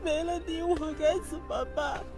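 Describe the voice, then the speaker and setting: A woman's voice: very high voice, fairly even intonation, over music. A woman acting a meek, guilty wife and mother in a melodramatic Chinese audio drama. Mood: desperate pleading. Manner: slow, imploring, meek.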